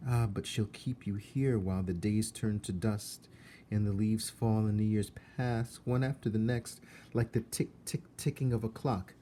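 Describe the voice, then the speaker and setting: A man voicing sad, faint ghost children in a storybook, with drawn-out, old-fashioned speech. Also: on the low side, steady tone, dark tone, slight background noise, voice pushed up, lightly acted. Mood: grave, sorrowful. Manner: drawn-out, archaic wording.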